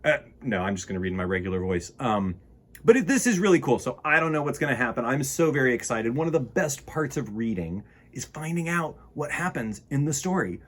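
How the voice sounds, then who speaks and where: A man's voice: mid-range voice, very animated, brisk, fairly bright tone. A man reading a children's picture book aloud with playful, theatrical emphasis and casual asides. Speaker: children's storytime reader.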